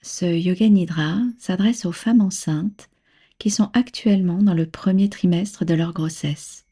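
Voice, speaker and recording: A woman with a soft, breathy voice leading a guided relaxation as a yoga nidra instructor, soothing, unhurried and gently instructing. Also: fairly low pitch. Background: background music.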